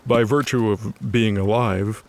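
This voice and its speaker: A man's voice: fairly low pitch, steady tone, measured pace. A man narrating a scripted story in a conversational, wry way.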